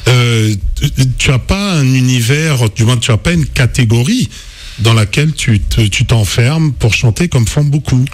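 A man with a mid-range voice hosts a radio interview. He asks long, meandering questions and fills gaps with hesitations. His tone is conversational and unhurried. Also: medium pitch, lively intonation. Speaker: radio host.

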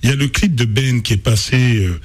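A man's voice: mid-range voice, fast, breathy edge. Chatty male radio host. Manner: lively, asks long rambling questions. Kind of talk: radio interview.

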